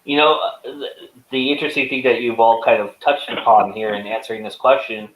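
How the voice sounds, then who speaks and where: Halting male host in an echoey room. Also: medium pitch, fairly even intonation. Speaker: host, panel moderator. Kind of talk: conversational interviewing.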